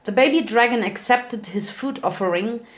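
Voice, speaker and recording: A woman's voice: a little high, normal speed. Woman narrating a dramatic story. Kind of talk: dramatic reading of fan fiction.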